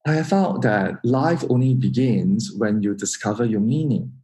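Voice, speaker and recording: A man from Singapore, hosting a solo podcast introduction in an earnest, measured way. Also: fairly low pitch, animated, warm tone. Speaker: podcast host.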